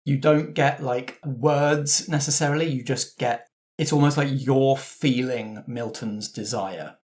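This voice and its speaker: A man's voice: mid-range voice, measured pace, darkish tone. Man narrating a tabletop game. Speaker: game master of an actual-play podcast.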